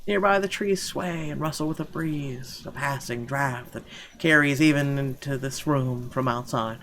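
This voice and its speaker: A man's voice: mid-range voice, normal speed, fairly bright tone, slight background noise. A man playing through a visual novel, reading its narration aloud expressively and dropping casual, sweary remarks. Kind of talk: gaming playthrough, dramatic read-aloud narration. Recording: music playing behind the voice. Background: game music.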